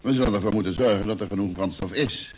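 An actor playing a blunt, pragmatic spaceship engineer in a Dutch radio play, speaking in a matter-of-fact way. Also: male voice, lowish voice, fast talker, husky. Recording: noisy old radio drama recording.

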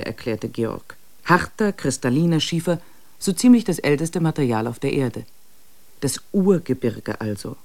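An actor playing a reflective expedition diarist, narrating a literary travel journal in a measured way for a radio play. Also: male voice, medium pitch, a little mellow. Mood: awed, uneasy. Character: reflective expedition diarist, slightly uneasy before mountains.

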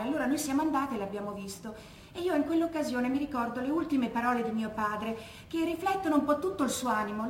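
An actress playing a composed, devout, well-spoken daughter of an executed prisoner in a solemn theatrical monologue. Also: female voice, high voice. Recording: roomy echo, faint background noise.

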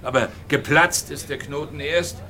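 An actor playing a jovially official police superior in a German radio drama, addressing a group with hearty mock formality. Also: male voice, a little high, moderate pace, bright, forceful tone.

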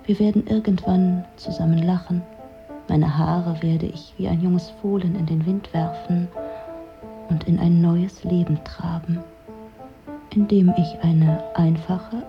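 A woman's voice: on the low side, dull audio. An actress playing a disillusioned, sardonic middle-aged woman, delivering a dry, brooding literary monologue in a radio drama. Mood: wry, sardonic.

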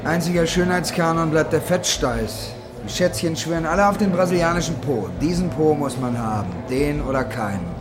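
Over clear background music comes an actor as a brash, defiant male street hustler. His voice sits a little high for a man. He swaggers and declaims in radio play style, coarse and cynical. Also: normal speed, hardly any pauses, natural voice. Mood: boastful. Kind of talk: German radio play acting.

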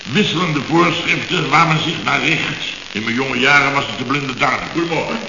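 A dull, hissy vintage radio recording in a reverberant room. A blustering company boss, played by an actor talks in impatient, exclamatory scraps, his male voice sitting at an average pitch. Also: moderate pace, vocal fry, lightly acted. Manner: blustering, clipped fragments, exclamatory. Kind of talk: Dutch radio comedy acting, around 1970. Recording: echoey, dull, noisy old radio recording.

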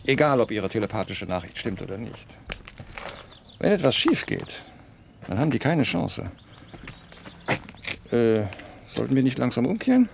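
An actor playing a sceptical, urbane, politely dismissive doctor in a German radio drama. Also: male voice, mid-range voice, sing-song, moderate pace, creaky, pauses often, background noise. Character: sceptical, urbane male doctor, former project leader.

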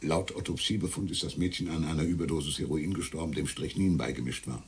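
An actor playing a weary, gruff police captain who speaks in an exasperated way. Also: male voice, a bass voice, quick pace, slight background noise. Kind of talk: radio drama acting.

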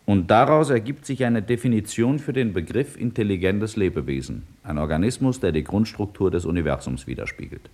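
An actor playing a philosophical scientist who lectures and argues with assurance in a 1950s radio play. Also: male voice, on the low side, animated, fairly quick, hardly any pauses, a little mellow. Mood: assured, reasoning.

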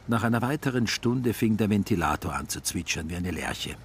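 A man narrating a literary radio play in a wry, measured storytelling manner. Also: on the low side, lively intonation, a little hiss or hum.

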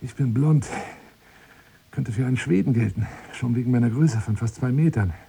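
An actor playing a lonely, boastful gentleman courting by telephone in formal, flowery German radio drama style, moving between murmurs and outbursts. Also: medium pitch, moderate pace, creaky, a little hiss or hum, close to an everyday voice. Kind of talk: radio drama monologue as one side of a phone call.

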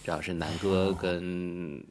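A man's voice: low-pitched, level tone, slow, slight background noise. A man hosting a live audio drama event, casually introducing scenes and directing the performers.

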